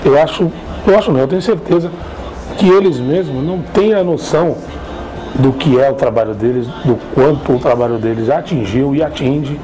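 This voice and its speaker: A man's voice: mid-range voice, a little fast, warm tone, soft background music, rough recording. A man, a fellow musician, speaking emphatically and admiringly in a documentary interview.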